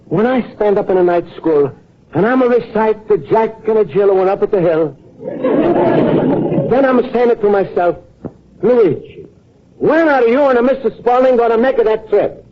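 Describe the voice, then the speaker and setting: An actor playing a naive, earnest, lovestruck Italian immigrant in an old-time radio comedy, speaking accented broken English. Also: male voice, high voice, animated, moderate pace, a faint crowd. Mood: shy, adoring. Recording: muffled old radio broadcast recording.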